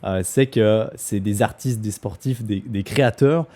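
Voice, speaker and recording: A man's voice: on the low side, measured pace, dark tone. A man hosting a podcast, chatting casually and eagerly while he asks his guest probing questions. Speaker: podcast host.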